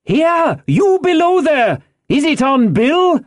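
A man's voice: medium pitch, very animated, slow pace, fairly bright tone, pitched above the performer's own voice, exaggerated voice. A man voicing a savage old blind pirate leader in an audiobook, roaring and cursing commands. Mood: enraged. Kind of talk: audiobook character voice.

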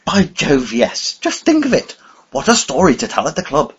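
A man voicing a bewildered, polite elderly English gentleman who hesitates and stammers, his voice climbing high. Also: wildly swooping pitch, moderate pace, breathy edge, highs cut off, exaggerated voice. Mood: flustered.

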